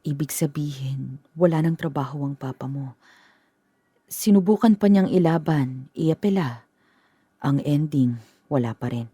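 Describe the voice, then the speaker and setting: A woman playing a husky-voiced worried mother. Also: deep voice, warm tone, no room sound. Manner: hesitant, halting. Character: worried middle-aged mother, hesitant. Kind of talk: radio drama acting.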